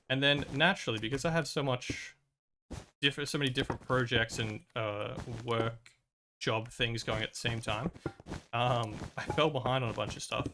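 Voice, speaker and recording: A man's voice: medium pitch, noisy background. A young man streaming a video game, chatting casually and rambling with lots of fillers and restarts, his voice a little worn from a recent cold. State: recovering from a cold and lost voice. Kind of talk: casual chat while playing.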